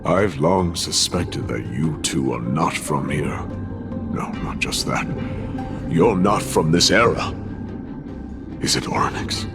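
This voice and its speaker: An actor playing a grave, world-weary, scornful lord in a video game, speaking with deliberate gravity. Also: male voice, a bass voice, lively intonation, loud music behind the voice, heavily acted. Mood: brooding, suspicious.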